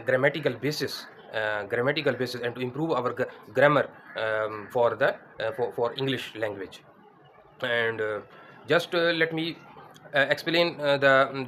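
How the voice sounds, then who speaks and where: Teacher in his thirties, mid-pitched, hesitant lesson delivery, close mic indoors. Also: a bit bright, a little hiss or hum. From Pakistan. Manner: hesitant, many fillers and restarts. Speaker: English teacher. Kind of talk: spoken grammar lesson. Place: indoors against a plain wall.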